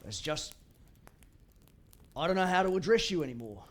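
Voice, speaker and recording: A woman's voice: on the low side, big pitch swings, moderate pace. A woman playing a cheeky, down-to-earth young commoner in a game drama, speaking naturally and informally. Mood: wry, self-deprecating. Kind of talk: naturalistic game cutscene acting.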